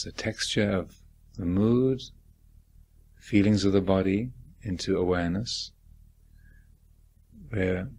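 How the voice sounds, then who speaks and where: Male meditation guide, husky and slow, gently reflective, leaving generous space between phrases. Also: deep voice. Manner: gentle reflective questioning, deliberate phrasing with long pauses. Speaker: meditation teacher.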